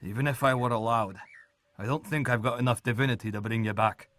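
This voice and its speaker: Man as a muttering grandfather god, bright-edged. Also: mid-range voice, fast, dry recording, lightly acted. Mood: weary, tender. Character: gruff, kindly grandfather god, weary magic user. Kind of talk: fantasy audio drama character acting.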